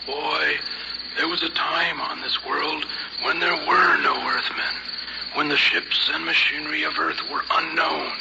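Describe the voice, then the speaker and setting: An actor playing a sorrowful, proud Martian native with gravelly, solemn and formal speech in an old-time radio drama. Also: male voice, a little high, flat delivery. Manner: solemn, formal, measured speech in a foreign tongue. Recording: muffled, very noisy old radio recording.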